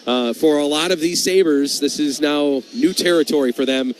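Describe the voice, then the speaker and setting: A man's voice: fairly high pitch, moderate pace, faint music behind. A man hosting a live radio intermission show during a hockey game, broadcasting from the arena.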